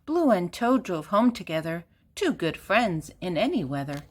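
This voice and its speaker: A woman's voice: fairly low pitch, very animated, moderate pace. An older woman reading a children's picture book aloud, speaking simply and directly to young listeners. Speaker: children's storybook reader.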